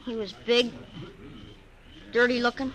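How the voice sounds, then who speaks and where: A woman's voice: fairly high pitch, flat delivery, unhurried, a bit bright. A woman playing a plain-spoken frontier woman in an old radio western, simple and direct. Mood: earnest. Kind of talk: 1950s radio western acting.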